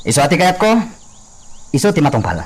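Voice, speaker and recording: A man's voice: on the high side, big pitch swings, quick pace, breathy edge. A man with an Ilocano accent playing an assertive hacienda master who gives orders in a radio drama.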